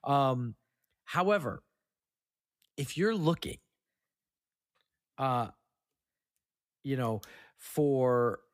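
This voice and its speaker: A man hosting a casual film podcast, running through trivia in a halting way and stumbling over words now and then. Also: mid-range voice, lively intonation, no room sound, clean studio sound. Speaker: podcast host. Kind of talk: casual film trivia rundown among co-hosts.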